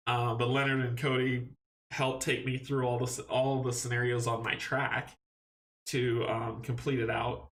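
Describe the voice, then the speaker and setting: A man's voice: mid-range voice, a bit bright. A young man from Guyana, hosting a casual live stream and answering viewers' questions in an upbeat, rambling way that often restarts its sentences. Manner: rambling, self-correcting, upbeat.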